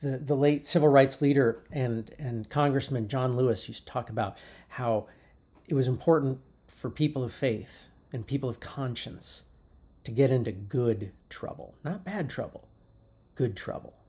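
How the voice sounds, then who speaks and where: A man preaching a sermon as pastor, in a thoughtful, unhurried way with frequent restarts. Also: medium pitch, mellow, slightly rough. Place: church sanctuary.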